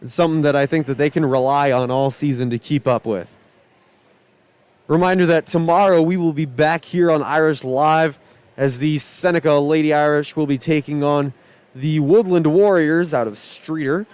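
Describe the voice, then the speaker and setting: A man calling high school volleyball play by play, shouting excitedly over rallies, his voice starting to go. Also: normal speed, clean tone, no room sound. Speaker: play-by-play announcer.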